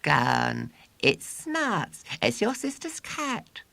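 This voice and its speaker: A man's voice: high voice, huge pitch swings, very slow, gravelly, sharp tone, dry recording, pitched above the performer's own voice, exaggerated voice. A man voicing a sceptical young boy heckler in a children's storybook, doubting and grumbling. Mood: scoffing.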